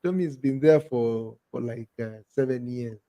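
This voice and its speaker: A man's voice: medium pitch, moderate pace, no room sound, muffled recording. A Kenyan man in his thirties chatting on a podcast, telling stories with energy and calling his friend "bro". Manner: animated storyteller, peppers talk with "bro". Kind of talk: casual chat.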